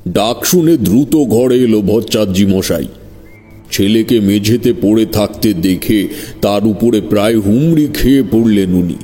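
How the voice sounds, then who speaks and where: A man's voice: on the low side, a little slow, mellow, faint music behind. A man narrating a scripted horror story in a dramatic storytelling style.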